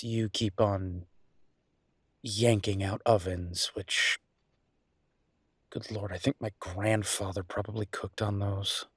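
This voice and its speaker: Man playing affectionate chef boyfriend, low husky voice, teasing conversational banter, intimate audio roleplay, close dry sound. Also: no echo. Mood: affectionate, wry. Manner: conversational, playful, exasperated banter. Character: easygoing, teasing human chef boyfriend, gadget-loving and affectionate.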